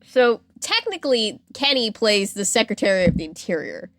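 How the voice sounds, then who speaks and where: A woman's voice: medium pitch, big pitch swings, normal speed, a bit bright, dry recording. A woman hosting a podcast, telling a story in a playful, informal, conversational way with casual asides. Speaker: podcast host.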